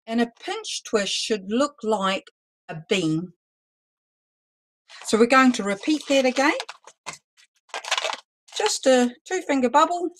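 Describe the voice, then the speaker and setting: A woman teaching a live balloon-twisting lesson, talking loudly and chattily with some self-deprecating humor. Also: on the high side, animated, takes it slowly, pauses often, slight background noise, poor audio. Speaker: balloon artist teaching a workshop. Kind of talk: live online demonstration lesson.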